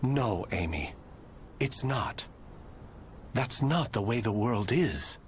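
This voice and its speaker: A man's voice: medium pitch, lively intonation, slow, a little hiss or hum. A man playing a proud, devoted swordsman and protector in a video game cutscene, speaking formally and earnestly. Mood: tender, earnest. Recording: loud music behind the voice.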